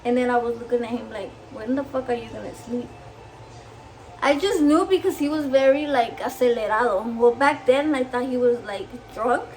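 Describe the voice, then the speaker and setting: A brash, foul-mouthed woman with a Mexican accent talks while she cooks in her home kitchen. Spanish is her first language, and she swaps freely between it and English. Her voice sits high, with a faint hiss behind it. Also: moderate pace, a little mellow, rough recording. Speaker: vlogger cooking at home. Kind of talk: casual cooking vlog chat.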